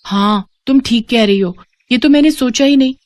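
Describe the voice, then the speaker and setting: A woman's voice: fairly high pitch, normal speed, no echo, pitched above the performer's own voice, natural voice. A woman playing an earnest, devoted young twin sister in a moral story. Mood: worried agreement.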